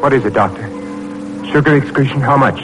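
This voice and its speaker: An actor playing an earnest, kindly medical researcher with a brisk manner in a 1940s radio drama. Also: male voice, medium pitch, lightly acted, rough recording. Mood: eager. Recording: old radio recording under loud music.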